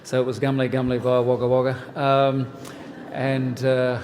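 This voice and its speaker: A man's voice: medium pitch, measured pace, big-room sound, slight background noise. Joking Australian man in his sixties. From New South Wales, Australia. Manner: relaxed, joking, many "uh" fillers. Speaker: family ministries pastor. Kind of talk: church workshop talk. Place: church hall.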